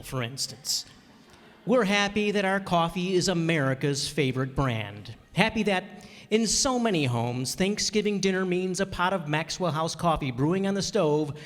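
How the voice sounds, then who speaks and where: A man's voice: fairly high pitch, close to an everyday voice. A man playing a polished 1940s radio sponsor announcer delivering a commercial. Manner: polished, warm sales delivery. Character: smooth old-time radio sponsor announcer. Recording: long hall reverb on stage.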